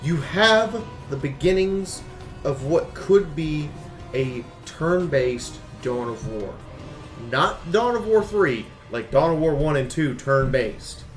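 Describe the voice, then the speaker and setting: A man's voice: a little high, lively intonation, slow, echoey room, faint music behind. A man giving opinionated game review commentary, speaking bluntly and emphatically and repeating phrases for stress.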